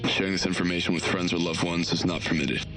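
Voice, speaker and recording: A man playing a stern, impersonal police training-video narrator, speaking in formal, measured instructions for an analog horror game. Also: deep voice, little pitch movement, over music. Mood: formal, ominous.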